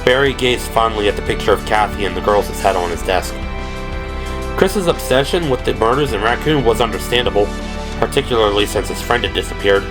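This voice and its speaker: A man's voice: on the low side, lively intonation, fairly quick, over music, rough recording. A man reading scripted, dramatic prose as the narrator of an audio drama. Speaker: narrator and performer.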